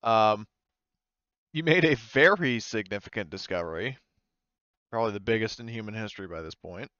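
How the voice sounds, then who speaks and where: A man's voice: fairly low pitch, lively intonation, moderate pace, pushed and bright, dry recording, highs cut off. Male game master, clean resonant voice, casual delivery at the table, close and dry.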